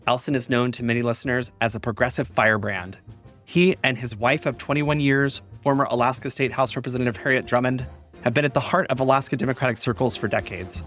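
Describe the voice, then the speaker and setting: A man hosting a podcast, reading a scripted introduction and asking interview questions in a measured way. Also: medium pitch, fairly bright tone, soft background music. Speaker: podcast host.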